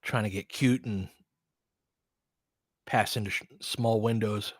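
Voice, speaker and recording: A man's voice: on the low side, fairly even intonation, slow pace, no echo. Casual man calling basketball, dry close sound. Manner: conversational, mildly profane, trailing asides. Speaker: basketball play-by-play host and coach. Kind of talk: casual live game commentary and chat with listeners.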